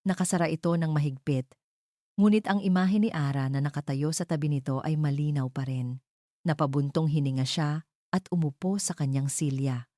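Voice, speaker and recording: Filipina lady reading a dramatic love story, low voice, really dry close sound, solemn with pauses. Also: dark tone, no echo. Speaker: story narrator.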